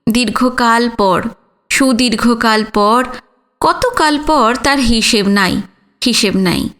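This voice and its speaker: A woman narrating a literary audio story in a measured, solemn manner with deliberate phrasing. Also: a little high, a bit bright.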